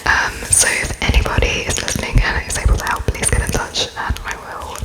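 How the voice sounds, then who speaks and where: A woman whispering in a breathy voice as the polite, hesitant interviewer in an ASMR roleplay. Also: rough recording. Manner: hesitant, halting, pausing between words as if writing notes, politely apologetic. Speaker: host playing an interviewer of an unheard alien.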